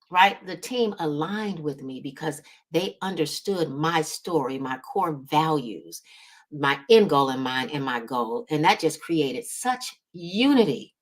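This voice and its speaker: A woman with a low-pitched voice gives motivational business coaching. She speaks emphatically, repeating key words to drive a point home and frequently tagging her sentences with a quick check-in to the listener. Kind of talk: motivational business advice talk.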